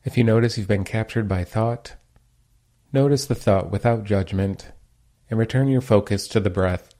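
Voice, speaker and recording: Mellow low-voiced man guiding meditation. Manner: calm, gentle, unhurried instruction. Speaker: meditation teacher. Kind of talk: guided meditation narration.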